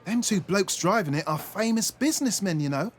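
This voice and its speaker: Kindly British working-class shopkeeper, played by a man, high and animated, gossipy radio-play character. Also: brisk, soft background music. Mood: friendly, gossipy. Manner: chatty, colloquial.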